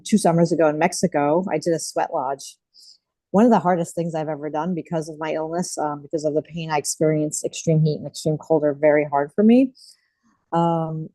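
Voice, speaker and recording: A woman's voice: low voice, no echo. Chatty woman hosting a video call. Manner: warm, chatty, frequent fillers. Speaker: group host. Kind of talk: casual online group share.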